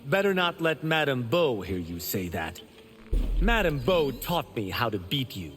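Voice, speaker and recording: Animated, cocky young warrior. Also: male voice, mid-range voice, a little slow, big-room sound, slight background noise. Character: cocky, boastful young male martial-arts warrior. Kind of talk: video game dialogue.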